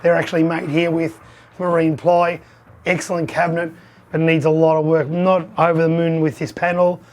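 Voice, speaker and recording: A hoarse man who has lost his voice, giving a chatty, upbeat vlog tour of his arcade collection. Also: fairly high pitch, flat delivery, normal speed, a little mellow. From Australia. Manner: chatty, upbeat, pointing things out.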